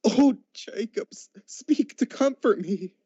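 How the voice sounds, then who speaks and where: A man's voice: high-pitched, expressive, slow, mellow, husky, no room sound, exaggerated voice. A teenage male actor playing an anxious, grumbling old miser in a radio drama, stammering and repeating his words nervously. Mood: pleading. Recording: dull lo-fi amateur recording.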